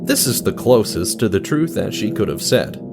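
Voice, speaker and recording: A man narrating a scripted story as a dramatic storyteller, with deliberate pauses and direct address to the listener. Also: lowish voice, sing-song, vocal fry, over music.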